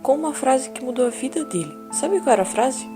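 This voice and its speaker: Gentle therapist, calm probing questions, music behind. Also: female voice, sits high, a little fast. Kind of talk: audio drama acting.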